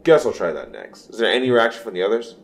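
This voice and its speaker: A man's voice: lowish voice, sing-song, fast, vocal fry, a little hiss or hum. A man playing a cocky, wisecracking vigilante superhero in an audio drama, with smug, dry banter.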